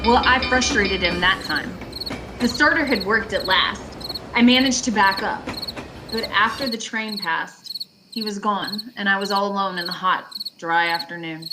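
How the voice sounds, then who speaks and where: A woman's voice: mid-range voice, normal speed, heavy background noise, close to an everyday voice. A woman playing a haunted, anxious motorist in an amateur radio play, narrating her journey in an earnest, dramatic way. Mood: tense, haunted. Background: prominent music.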